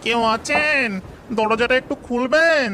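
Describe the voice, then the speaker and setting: A man's voice: very high voice, measured pace, background noise. A man voicing a cartoon ghost who poses as a pleading poor traveller. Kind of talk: cartoon voice acting.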